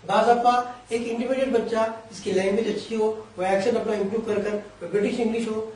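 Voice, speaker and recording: A man with a high, mellow voice patiently explains careers in long, winding sentences. He sounds set back from the mic in a very echoey room. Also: steady tone, fast, recorded from a distance. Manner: long explanatory sentences, Urdu-flavoured Hindi with English terms. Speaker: career guidance expert. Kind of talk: explanatory interview answers.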